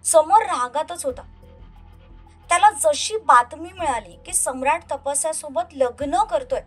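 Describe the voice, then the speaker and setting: A woman's voice: high voice, animated, a little slow. A woman narrating a scripted, dramatic love story. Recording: music under the voice. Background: soft background music.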